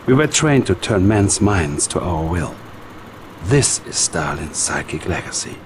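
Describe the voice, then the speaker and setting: A man's voice: low-pitched, sing-song, measured pace, noisy background. A man playing a sinister Soviet psychic schemer with a Russian accent, silky, menacing and condescending, in a game cutscene. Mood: calm menace. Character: sinister, soft-spoken Soviet psychic mastermind, a scheming adviser. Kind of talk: dramatic game cutscene acting.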